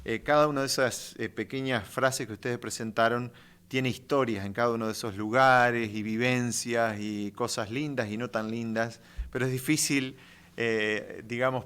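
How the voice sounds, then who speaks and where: A man from Uruguay hosts a faith podcast, welcoming guests and posing questions. He talks in a mid-range voice and often pauses on fillers between phrases. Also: mid-range voice, steady tone, a bit bright, smooth. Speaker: podcast host. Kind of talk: interview hosting.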